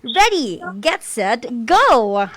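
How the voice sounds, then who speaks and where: A woman's voice: on the high side, big pitch swings, extremely slow, fairly bright tone. A woman with a Filipino accent co-hosting a school program in a casual, encouraging way. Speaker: co-host of a school-on-the-air program.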